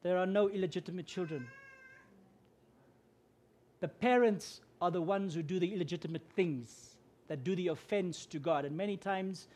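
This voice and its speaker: A man's voice: high-pitched, moderate pace. Afrikaans-man preaching. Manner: earnest, grows louder and more emphatic when preaching. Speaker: guest preacher. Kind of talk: sermon.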